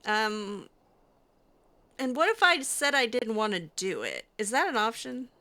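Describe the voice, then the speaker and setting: A woman with a bright, highish voice, role-playing through a video game. She talks slowly in a loose, improvised way, casual and dryly sarcastic, often hesitating. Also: very animated. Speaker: gaming host role-playing her character. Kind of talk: improvised in-character playthrough chat.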